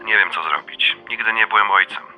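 An actor playing a blunt, wry middle-aged son in a radio drama, speaking colloquial with a dryly teasing manner. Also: male voice, fairly low pitch, a little fast, bright, forceful tone, soft background music, low-quality recording. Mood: troubled. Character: blunt, wry adult son, uneasy new father. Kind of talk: radio drama dialogue, phone conversation.

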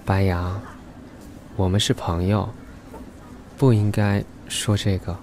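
An actor playing a shy, loyal, protective young man in a radio drama, halting and terse. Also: sits low, level tone, darkish tone, a little hiss or hum. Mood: shy, earnest. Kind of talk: Chinese radio drama acting.

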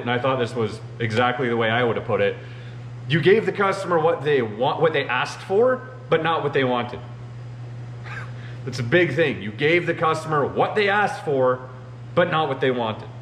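A man's voice: medium pitch, a bit bright. A man in his thirties talking casually to camera as a machinist show host, filling his talk with "you know.". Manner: conversational, uses many "you know" fillers. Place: large indoor machine shop. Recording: roomy shop with faint hum.

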